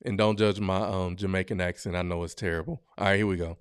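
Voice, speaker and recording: A man's voice: low-pitched, fairly even intonation, quick pace, smooth, no room sound, studio quality. A man hosting a podcast, reacting to online videos in casual, slangy, blunt and joking commentary full of exclamations. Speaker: podcast host.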